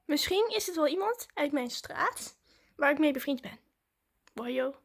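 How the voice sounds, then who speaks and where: A woman. She talks like a vlogger, in casual, excited chat aimed at her viewers. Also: high-pitched, a little slow, creaky, slight background noise.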